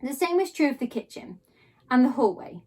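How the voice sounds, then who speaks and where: A woman reading a children's story aloud in a theatrical way, with dramatic pauses and drawn-out emphasis on key words. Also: sits high, big pitch swings, mellow. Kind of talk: expressive children's storybook narration.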